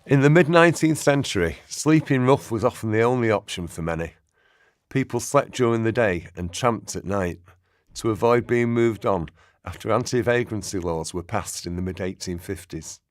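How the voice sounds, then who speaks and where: A man acting as a sober narrator, giving measured, expository documentary-style narration in a radio play. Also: on the low side, expressive, no echo, clean studio sound, natural voice. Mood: sober, explanatory. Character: sober male historical narrator.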